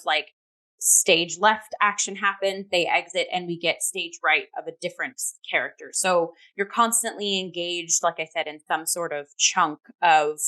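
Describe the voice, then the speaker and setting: A woman's voice: on the low side, a bit bright, poor audio. A woman co-hosting a theatre review show, talking casually and enthusiastically and peppering her speech with "like".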